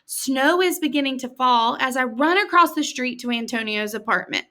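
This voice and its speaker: A woman's voice: high voice, expressive, normal speed, pushed and bright. An American woman reading a picture book aloud expressively, with dramatic emphasis and chatty, informal asides to her listeners. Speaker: read-aloud storyteller, teacher-like host.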